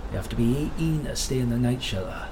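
An actor playing a plain-spoken, firm official in a naturalistic audio drama. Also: male voice, medium pitch, lively intonation, fast, noisy background, natural voice. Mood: matter-of-fact.

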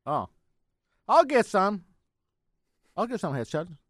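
A man co-hosting a comedy podcast, chatting casually and haltingly, often repeating his words. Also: sits high, very animated, a bit bright, no room sound, clean studio sound. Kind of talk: casual chat, banter.

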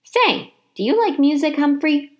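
Storybook night janitor, man voiced by a woman: friendly, jovial, exclamatory, very lively intonation. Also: high-pitched, measured pace, pitched above the performer's own voice. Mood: friendly, chatty. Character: friendly, jolly male night janitor, chatty and playful.

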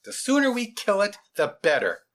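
A man's voice: high voice, wildly swooping pitch, normal speed, a bit bright, voice pushed up, heavily acted. A man voicing a tall, dismissive hunter with a rifle in a story.

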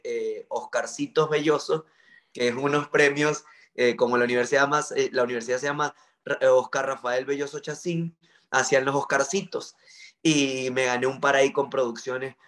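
A man's voice: medium pitch, level tone, moderate pace, a bit bright. A man from Venezuela with a Maracaibo accent, a journalist telling his life story in an interview, earnest and reflective with frequent fillers. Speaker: journalist guest.

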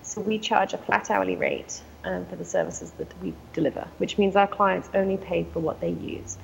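A woman's voice: medium pitch, fairly even intonation, normal speed, creaky, a little hiss or hum, poor audio. A woman with an English accent, answering interview questions about her business in a conversational way with frequent ums. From England. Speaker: guest, company founder.

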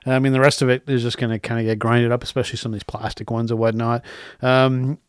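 A man's voice: mid-range voice, flat delivery, fast talker, smooth, dry recording, studio quality. A man in his late thirties hosting a casual solo tech podcast, rambling with restarts and filler phrases, blunt and willing to swear. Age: 30s. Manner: rambling, frequent restarts and "and whatnot"; blunt, swears when irritated. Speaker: podcast host.

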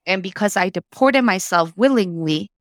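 A woman's voice: medium pitch, animated, moderate pace, dry recording, studio quality. A woman, reflectively telling her story as an interview guest and slipping Spanish phrases into her English. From Mexico.

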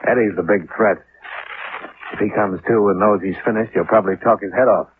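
A man's voice: on the low side, fairly even intonation, a little fast, natural voice. An actor playing a shrewd, unflappable male investigator with clipped, dryly sardonic questioning in 1950s radio style. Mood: urgent. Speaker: lead actor of a detective series. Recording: tinny, hissy 1950s radio recording.

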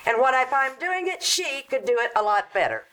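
Sarcastic young housewife, female actor, vintage radio sitcom. Highish, very animated delivery, dry wisecracks. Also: brisk. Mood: exasperated, wry. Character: sarcastic young housewife resenting her meddling mother-in-law. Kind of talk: 1940s radio comedy acting. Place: live stage show.

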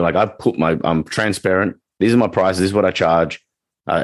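A man's voice: low voice, dry recording. A man who works as a dog trainer, co-hosting a casual podcast chat and talking in a rambling way, with many restarts and fillers.

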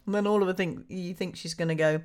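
A radio host with a low, husky voice, made even rougher by a winter cold. She chats loosely and warmly with listeners, laughing often and wandering through her thoughts with plenty of ums and uhs. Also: quick pace. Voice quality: deep husky voice. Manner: chatty, laughing, with many fillers. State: hoarse from a cold. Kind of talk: casual radio presenting.

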